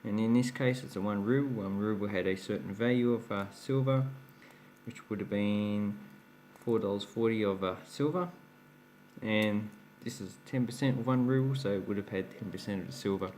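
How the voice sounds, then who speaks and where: Chatty low-voiced man. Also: moderate pace, a little mellow, a little hiss or hum. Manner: chatty, explains while handling coins, laughs at his own slips. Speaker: coin collecting channel host. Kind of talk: casual show-and-tell vlog.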